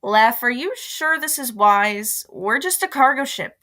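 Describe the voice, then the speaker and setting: A woman playing a cautious, businesslike spaceship officer with a crisp, dry manner. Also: fairly high pitch, animated, measured pace, bright, forceful tone. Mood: skeptical.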